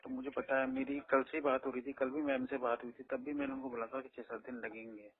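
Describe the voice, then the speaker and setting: A man's voice: medium pitch, level tone, fast talker. A man in a phone conversation as a borrower, persistent and questioning but polite in how he addresses the other party. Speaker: borrower on a collection call. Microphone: phone line. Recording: tinny, band-limited phone call recording.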